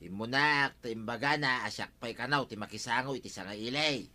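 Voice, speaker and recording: A man's voice: medium pitch, a little fast, sharp tone. An actor with an Ilocano accent playing a cheeky, stubborn old village man in a comedy radio drama. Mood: boastful.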